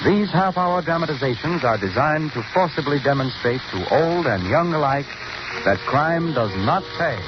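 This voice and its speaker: A formal, measured 1930s radio announcer, a man with a slightly high voice. Dull old broadcast sound with steady hiss.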